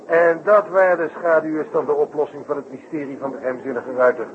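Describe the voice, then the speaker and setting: An actor playing a detective's brisk younger companion in a 1960s radio play, talking in short, familiar phrases. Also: male voice, a little high, fairly even intonation, close to an everyday voice. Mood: assured. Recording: muffled, hissy old radio broadcast.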